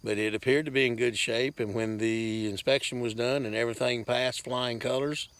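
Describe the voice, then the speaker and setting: A man's voice: medium pitch, steady tone, normal speed, fairly bright tone, no room sound. A man gives a casual, rambling update to viewers in a folksy, plainspoken way, speaking outdoors into a handheld camera. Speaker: farm vlogger. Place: outdoors on a farm.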